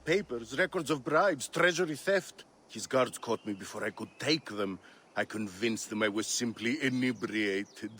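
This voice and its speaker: An actor playing a pompous, hungover Greek drunkard who groans and complains floridly in a video game. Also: male voice, medium pitch, unhurried, fairly bright tone, husky. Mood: wry. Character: hungover, pompous, theatrical drunkard Greek man, grumbling wit.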